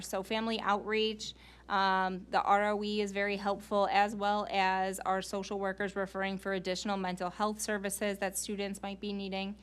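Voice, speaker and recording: A woman's voice: medium pitch, fairly bright tone. A woman speaking as a school staff member in an informal, explanatory meeting presentation, earnest and given to rhetorical questions.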